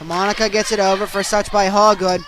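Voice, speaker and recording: Young adult male sportscaster, very high-pitched, excitable, clipped delivery for live game commentary. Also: fairly quick, music in the background. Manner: excitable, punchy delivery in short clipped phrases. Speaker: play-by-play sportscaster. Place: at sports venues, live during games.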